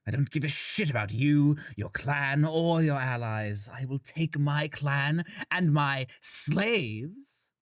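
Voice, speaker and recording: Arrogant, animated vampire clan leader. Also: male voice, on the high side, slow pace, no room sound, clean studio sound. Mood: dismissive defiance. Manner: dismissive, arrogant, emphatic delivery. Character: contemptuous, self-serving male vampire clan leader. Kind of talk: dramatic audio fiction acting.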